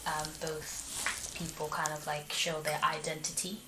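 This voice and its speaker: A woman's voice: sits low, completely flat delivery, a little slow, noisy background. A young woman from Malawi who grew up partly in England, giving reflective, hesitant interview answers. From born in Malawi, grew up there and in Manchester, England. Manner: hesitant, tentative phrasing. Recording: echoey room.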